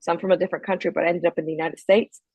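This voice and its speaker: A woman's voice: fairly low pitch, racing, husky, no echo, lo-fi sound. Upbeat woman from Guatemala on a call. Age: around 30. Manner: upbeat, energetic, pep-talk delivery. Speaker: sales call participant. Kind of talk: casual motivational group call. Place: on a treadmill. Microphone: video call.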